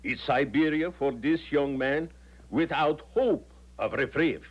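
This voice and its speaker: Man acting a stern, imperious high official. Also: a little slow, a little hiss or hum, lightly acted. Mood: stern, grudging. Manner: formal, imperious. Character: stern Russian official, grudgingly lenient, threatening. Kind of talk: 1970s radio drama acting. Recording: dull 1970s radio drama recording.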